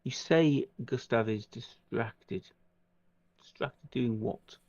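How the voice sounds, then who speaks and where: A man's voice: medium pitch, slow pace, a little mellow, muffled recording. A man playing a tabletop roleplaying game, talking casually and improvising his side of an in-game conversation.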